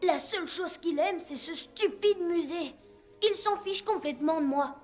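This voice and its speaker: A woman voicing an earnest, stubborn and excitable young boy in a cartoon, with an emphatic, pleading delivery. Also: extremely high pitch, measured pace, faint music behind. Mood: hurt, sulky. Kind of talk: animated cartoon dubbing.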